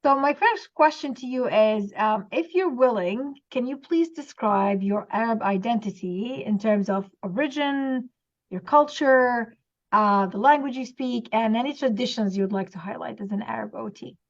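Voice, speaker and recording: A woman interview host who asks questions warmly and admiringly, with frequent "uh" and "um" hesitations. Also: a little high, normal speed. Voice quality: warm and admiring, hesitant fillers. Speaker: interview host, occupational therapist. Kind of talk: conversational interview. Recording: dull lo-fi sound.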